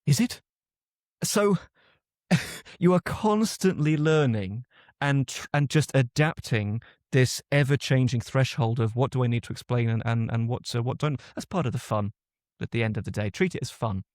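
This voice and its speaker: A man's voice: mid-range voice, expressive, moderate pace, a little mellow, dry recording. Thoughtful British man, dry close mic. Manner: thoughtful, reflective, often restarts sentences. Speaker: guest author and linguist. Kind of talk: conversational interview answers.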